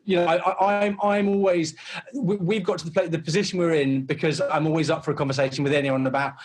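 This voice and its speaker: A man's voice: a little high, fairly even intonation, fast. A man chatting casually as an interview guest, explaining things in an informal, conversational way. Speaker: comedy company founder, interview guest.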